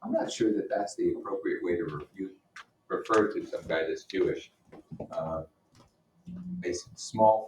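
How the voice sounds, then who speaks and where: Guy teacher reading a novel out loud w blunt comments, lowish voice, kinda far from the mic. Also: slight background noise. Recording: roomy echo, distant microphone.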